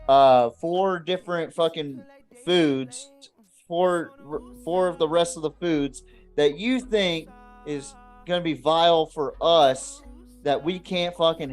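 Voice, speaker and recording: A man co-hosting a podcast, chatting casually to viewers in a blunt, swearing, rambling way. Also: fairly high pitch. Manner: profane, blunt. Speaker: podcast co-host.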